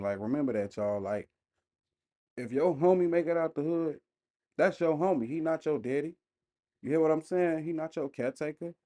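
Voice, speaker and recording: Mellow slangy male reaction host. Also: on the high side. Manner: slangy, emphatic, addresses viewers directly. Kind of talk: casual off-the-cuff reaction commentary.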